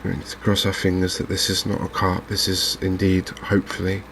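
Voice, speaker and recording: A soft-spoken man giving unhurried ASMR commentary on a video game. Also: low-pitched, monotone, noisy background. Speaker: gaming video host.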